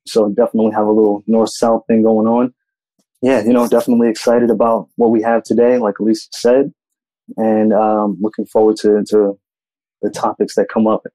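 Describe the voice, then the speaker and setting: A man's voice: lowish voice, little pitch movement, a little fast, mellow, no echo, low-quality recording. A man from New York introducing himself as a panel guest on a video call, hesitant and repeating words.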